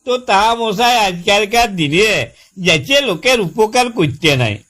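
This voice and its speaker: Man playing a very high-pitched, exasperated village husband. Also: lively intonation, measured pace, bright, forceful tone, exaggerated voice. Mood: exasperated, rambling. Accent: rural West Bengal Bengali. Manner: folksy, long-winded storytelling with exasperated repetition. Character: aggrieved village husband complaining about his new fridge. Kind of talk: comic prank-call style phone skit.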